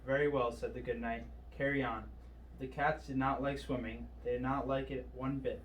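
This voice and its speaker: Male storybook reader, mid pitch, distant mic, slight noise. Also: level tone, moderate pace, highs cut off. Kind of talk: read-aloud children's story narration.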